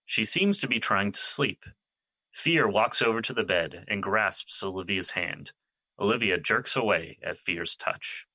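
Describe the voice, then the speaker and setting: A male narrator reads scripted stage directions. His voice is deep, with a very bright, forceful edge. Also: lively intonation, unhurried, poor audio.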